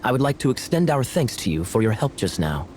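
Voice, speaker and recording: A man's voice: mid-range voice, big pitch swings, brisk, darkish tone, a little hiss or hum, natural voice. Man as a courteous, lilting knight. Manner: polite, formal, smooth-talking. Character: courteous, composed male knight envoy. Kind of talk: video game voice acting.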